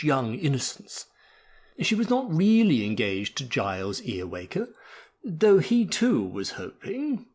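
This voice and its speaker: Male audiobook narrator, swooping intonation, slightly rough husky tone, theatrical storytelling with melodramatic flourish. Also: fairly high pitch. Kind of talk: expressive scripted story narration.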